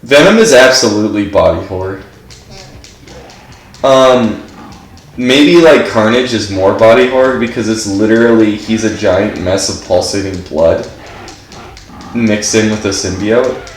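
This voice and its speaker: Stuffy-nosed guy streaming a game, lowish voice, says like a ton, echoey room. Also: a little slow, long pauses, slight background noise. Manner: rambling, fills speech with "like". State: stuffed up from allergies. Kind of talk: casual gameplay commentary.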